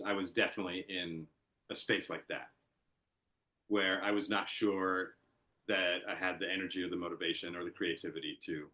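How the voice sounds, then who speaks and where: A man's voice: deep voice, fairly bright tone. A man, a guest editor and writer, talking earnestly and reflectively in a casual interview chat.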